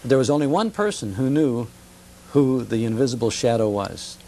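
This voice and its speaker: A man's voice: medium pitch, lively intonation, moderate pace, darkish tone, poor audio. A man talking conversationally as a guest expert on radio history, enthusiastic and emphatic, repeating phrases for effect.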